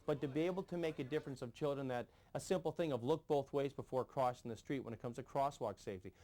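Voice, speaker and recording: A man, a police constable, speaking in an interview in a loud, projecting and enthusiastic way. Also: medium pitch, quick pace.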